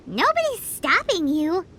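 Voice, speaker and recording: Tiny childlike fairy, extremely high and squeaky, wildly animated, perky, blunt, bossy, video game dub. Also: female voice, slow pace, very noisy, heavily acted. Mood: consoling. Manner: chirpy, bossy, encouraging.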